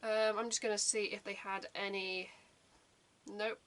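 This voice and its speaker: Young woman, bright voice, casual reaction chat, rambling with fillers. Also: medium pitch, level tone, a little slow. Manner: rambling, chatty, many fillers. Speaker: reaction channel host.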